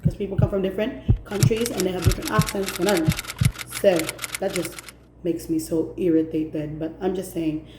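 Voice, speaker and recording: A woman's voice: fairly low pitch, fairly even intonation, moderate pace, echoey room, very noisy. A woman from Cebu City, venting straight to camera in a vlog, emphatic and fed up, hammering each point home. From Philippines, Cebu City. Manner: emphatic and exasperated, repeating points for stress. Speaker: vlogger. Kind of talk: direct-to-camera opinion rant.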